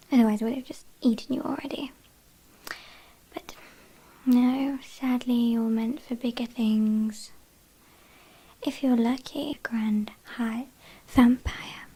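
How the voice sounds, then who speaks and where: A British woman playing a haughty, condescending vampire kidnapper in a breathy, whispered ASMR roleplay, with a dryly teasing manner. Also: on the high side, mellow, low-quality recording. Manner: slow, disdainful, dryly teasing.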